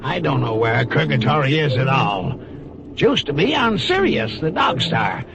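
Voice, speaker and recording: An actor in 1940s radio comedy playing a cranky, absent-minded old celestial bureaucrat, muttering and easily distracted. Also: male voice, mid-range voice, big pitch swings, normal speed, creaky, background noise. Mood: absent-minded, chatty. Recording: muffled old radio broadcast, loud music.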